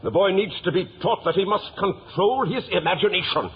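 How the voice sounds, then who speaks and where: A man's voice: sits high, a little fast, soft background music. A man playing a stern, sarcastic, commanding father in 1950s radio drama style. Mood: stern scorn. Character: stern, mocking, scornful father. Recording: tinny, hissy vintage radio recording.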